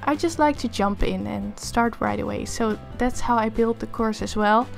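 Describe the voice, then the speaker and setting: Hoarse upbeat woman with Dutch accent. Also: a little high, normal speed, soft background music. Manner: chatty and upbeat. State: hoarse, losing her voice from a cold. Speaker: art tutorial creator. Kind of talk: casual voice-over for a drawing time lapse.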